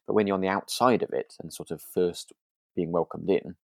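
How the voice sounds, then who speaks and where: A man's voice: deep voice, fairly even intonation, a little fast, gravelly, a little mellow, no room sound, clean studio sound. A man co-hosting a casual podcast discussion, speaking in a hesitant, rambling, tentative way. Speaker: podcast co-host. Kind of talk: casual discussion of a radio drama.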